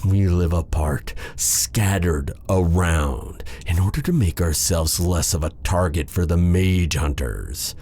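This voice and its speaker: Casting: male actor as suave evil mage for fantasy roleplay audio, extremely low gravelly voice, silky and menacing. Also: takes it slowly, few pauses, darkish tone, full character voice. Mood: bitter, brooding. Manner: theatrical, silky, taunting endearments. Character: suave, menacing dark male sorcerer, tender captor. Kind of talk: ASMR fantasy roleplay acting.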